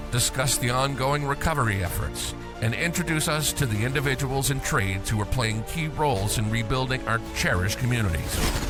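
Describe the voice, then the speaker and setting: A man reading a scripted, emphatic show introduction as the announcer. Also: medium pitch. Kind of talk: scripted promo narration. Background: music.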